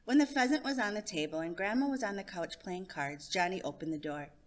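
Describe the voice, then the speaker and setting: A woman hosting a story time, reading a children's picture book aloud in an expressive narration. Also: mid-range voice, brisk. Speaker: storytime host and reader.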